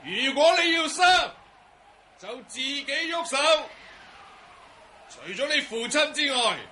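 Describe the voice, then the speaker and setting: An actor playing a gloating, menacing Mongol commander in a Cantonese radio drama, shrill and theatrically taunting. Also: male voice, lively intonation, takes it slowly, pushed and bright, a little hiss or hum, exaggerated voice. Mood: gloating, threatening.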